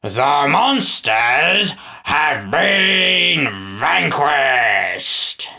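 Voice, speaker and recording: Reader doing a showy, gloating villain, voice leaping up and down, bright edge. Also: medium pitch, very animated, extremely slow, gravelly, full character voice. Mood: boastful. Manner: showy, swings from growling to frilly put-on voice. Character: scheming boastful villainous man. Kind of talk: storybook read-aloud.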